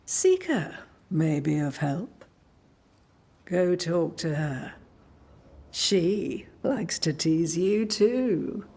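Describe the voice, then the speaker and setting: Slow, breathy, enigmatic witch. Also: fairly low pitch, big pitch swings, warm tone, heavily acted. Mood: teasing. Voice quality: breathy, husky. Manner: slow, measured, cryptic. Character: enigmatic, ominous witch leader giving cryptic guidance. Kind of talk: dramatic video game acting.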